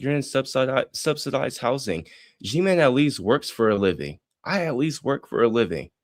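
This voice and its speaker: A man's voice: medium pitch, dry recording, studio quality. An older man streaming, mocking another streamer with sarcastic, profane commentary.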